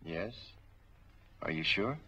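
A man's voice: sits low, animated, slow pace, breathy edge, exaggerated voice. An actor playing a grim, vengeful man in a 1940s film noir, speaking in a clipped, menacing way. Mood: cold, menacing. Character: grim, vengeful man coldly set on killing. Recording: muffled 1940s film soundtrack, loud music.

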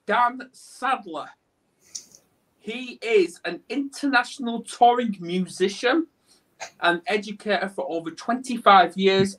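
A man in his thirties with a croaky voice from a cold, hosting a chatty video call interview and tripping over his words now and then. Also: sits high, fairly bright tone, poor audio. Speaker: host. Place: room with a green screen.

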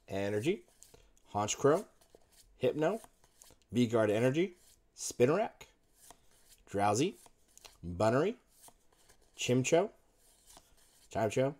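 A man in his thirties talks slowly over a desk mic at home, his pitch jumping and dipping a lot as he reads off one card after another. Wrapper crinkles rustle behind him. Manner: lists card names in a running murmur, brief excited outbursts. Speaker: card-opening video host. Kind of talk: casual unboxing commentary.

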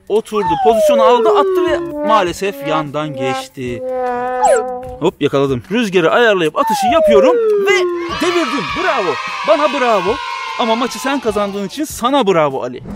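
High, lively uncle calling a kids' game. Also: male voice, normal speed. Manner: animated sportscaster-style narration, jumping from hushed to excited. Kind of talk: playful play-by-play for a children's channel. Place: outdoor paved courtyard. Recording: music playing loudly under the voice. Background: loud background music.

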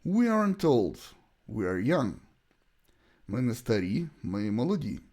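A man gives a bilingual language lesson as a teacher, with a Ukrainian accent from his native language. His voice sits at an average male pitch but rises and falls very animatedly. He sets out short sentences deliberately, as examples to repeat. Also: very animated, darkish tone. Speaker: English teacher.

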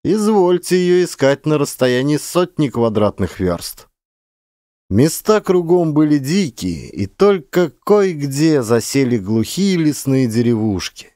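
A man's voice: fairly high pitch, big pitch swings, slow, mellow, no echo, studio quality. A man narrating a literary story as an audiobook actor, with dramatic, varied emphasis.

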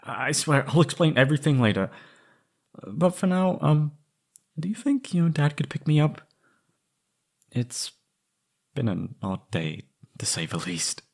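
A young man acting an anxious, wistful former captive in a scripted roleplay audio drama, speaking hesitantly and haltingly. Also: on the high side, sing-song, vocal fry, darkish tone, heavily acted. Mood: awkward, sheepish.

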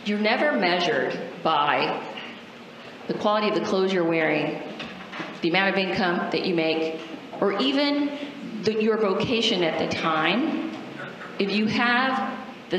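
A woman's voice: mid-range voice, animated, unhurried. A middle-aged woman from Cuba, a native Spanish speaker, telling a personal story emphatically to an auditorium audience through a handheld mic. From Cuba, moved to the United States. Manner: emphatic, rhetorical questions to listeners. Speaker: panel speaker. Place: large auditorium stage. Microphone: handheld microphone. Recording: very echoey hall, faint noise.

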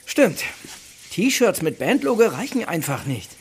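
Lively young band girl. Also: fairly low pitch, measured pace, slightly rough, exaggerated voice. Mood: businesslike. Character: upbeat, witty young girl bandmate. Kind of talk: German audio drama acting.

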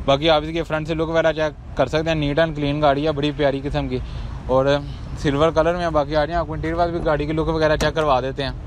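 Pakistani man giving car market patter outdoors. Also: fairly high pitch. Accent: Pakistani Urdu. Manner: brisk, repetitive salesman patter listing prices and features. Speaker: used car market presenter. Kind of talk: walk-around car market vlog. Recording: noisy outdoor street recording.

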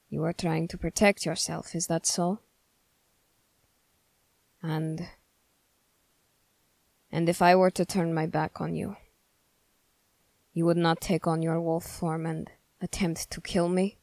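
A woman playing a stern, suspicious young male soldier in an ASMR roleplay, questioning the listener in a measured, formal way. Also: sits low, little pitch movement, creaky, darkish tone, dry recording, heavily acted. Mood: guarded, distrustful. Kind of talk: ASMR character roleplay, slow scripted monologue.

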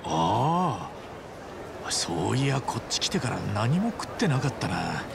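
An actor playing a friendly, rough-spoken, big-hearted man in a video game cutscene, with casual, chummy street slang. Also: mid-range voice, very animated, a little slow, background noise. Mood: easygoing, upbeat.

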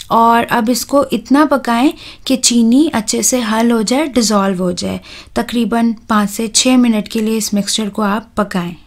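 A woman, hosting a home cooking channel and talking calmly through a recipe step by step, with soft "uh" fillers. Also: fairly high pitch. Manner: calm, instructive, with soft "uh" fillers.

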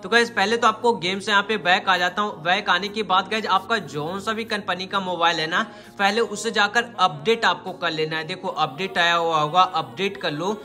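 A young man speaks in a high, bright voice as he walks viewers through a tutorial. He is informal and repetitive, constantly addressing his audience. The room adds noticeable echo. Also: normal speed, few pauses. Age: 20s. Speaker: YouTube host, gaming tech tutorial presenter. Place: small indoor room. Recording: roomy echo, faint music behind. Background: music.

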